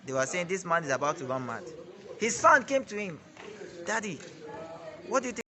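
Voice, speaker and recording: A man's voice: sits high, sing-song, moderate pace, pushed and bright. A Nigerian man in his twenties with a Nigerian accent, giving an emphatic, preachy testimony as a network marketing director in an interview. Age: 20s.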